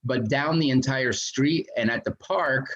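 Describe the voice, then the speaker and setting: A man's voice: mid-range voice, a little slow, highs cut off. Man talking at a committee meeting. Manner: talkative, self-described loud voice. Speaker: committee member. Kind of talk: meeting discussion.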